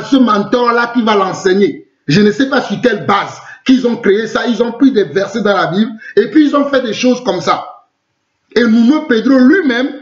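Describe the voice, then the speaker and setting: High-pitched Togolese-accented preacher, a man. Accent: Togolese French. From Togo. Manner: emphatic, repeats phrases and asks rhetorical questions. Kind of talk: live online preaching with replies to comments. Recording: roomy, echoing, muffled lo-fi sound.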